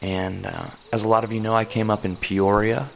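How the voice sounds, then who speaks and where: A man hosts a comedy radio play in a deadpan, self-important ramble full of fillers. His voice sits fairly low. A long hall reverb lingers after his lines. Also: brisk, vocal fry, slight background noise. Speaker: podcast host.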